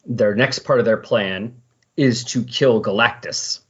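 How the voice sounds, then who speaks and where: A man with a husky voice hosts a relaxed discussion show. He explains things conversationally at an everyday pitch. The recording is a little dull and lo-fi. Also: measured pace. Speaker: podcast host. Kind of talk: casual comic-book recap discussion.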